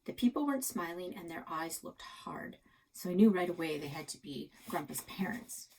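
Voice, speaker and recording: A woman narrating a children's chapter book aloud as a library storyteller, in an expressive style. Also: lowish voice, normal speed, a little hiss or hum, poor audio.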